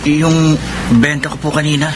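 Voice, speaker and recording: Gentle, dutiful young son played by an actor. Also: male voice, fairly high pitch, little pitch movement, normal speed, natural voice. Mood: concerned, gentle. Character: dutiful, caring young son and elder brother. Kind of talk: Filipino radio drama acting. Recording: noisy background hum.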